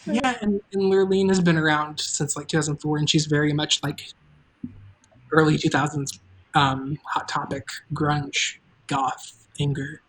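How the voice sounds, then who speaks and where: A show creator hosting a live stream, talking casually and haltingly about making the show, with offhand asides. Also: mid-range voice, steady tone, slight background noise, low-quality recording. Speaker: show creator and table read host.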